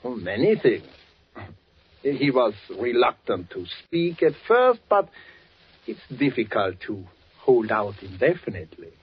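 An actor playing a smug, menacing Nazi German official in a 1940s radio drama, formal and insinuating, with deliberate small hesitations. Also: male voice, medium pitch, very animated, husky, full character voice. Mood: veiled menace. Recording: dull, faintly noisy 1940s radio recording.

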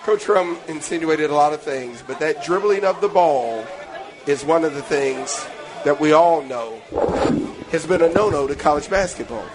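A man hosting live sports talk radio from a restaurant, excitable and full of banter and exclamations. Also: fairly high pitch, moderate pace, poor audio. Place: busy restaurant, live remote broadcast. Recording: busy restaurant crowd behind. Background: restaurant crowd.

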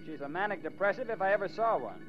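A fast, fairly high male voice over music, self-assured American physician in vintage radio drama. His delivery is clipped and clinical. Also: animated. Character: opinionated male doctor, brisk and assured. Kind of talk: 1940s radio drama acting. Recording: dull 1940s radio recording with music.

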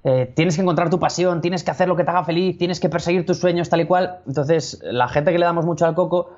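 A man's voice: on the high side, quick pace, darkish tone. A man with a Spanish accent from Spain chats as a public speaking coach. He talks thoughtfully and restarts often. The recording sounds dull and lo-fi. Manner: thoughtful, many restarts, laughs easily. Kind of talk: casual interview chat.

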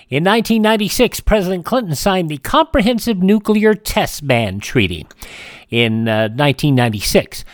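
Radio host, animated pitch, dry close sound, informal almanac-style reading with a halting delivery. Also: dry recording. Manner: halting reading with stumbles and self-corrections. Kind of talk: informal scripted narration of this-day-in-history items.

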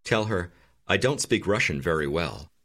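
A deep-voiced man narrates a language lesson, giving calm and clear prompts. His low voice still moves up and down expressively as he guides each exercise. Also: a little slow. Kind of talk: scripted instructional narration.

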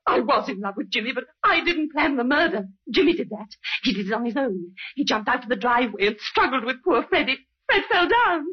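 Anguished, high-voiced wife. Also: female voice, very animated, brisk, few pauses, slightly rough, exaggerated voice. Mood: distraught, defiant. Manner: tense, emphatic, repetitive. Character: anguished, defiant married woman accused of murder. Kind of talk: old-time radio melodrama. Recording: muffled old radio recording.

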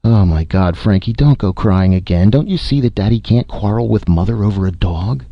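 A man's voice: deep voice, animated, a little slow, vocal fry, no echo, heavily acted. Man playing a strained, weary storybook father. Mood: exasperated. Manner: strained, forced lightness, impatient. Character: troubled, weary, gentle big father. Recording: muffled audio.

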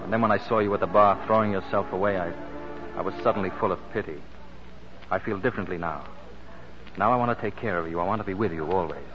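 An actor playing a possessive romantic suitor, earnest and insistent, in 1940s radio drama style. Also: male voice, deep voice, fast talker, close to an everyday voice. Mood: tender, earnest. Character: Paul, smooth-talking, possessive romantic suitor. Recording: dull 1940s radio recording, music behind.

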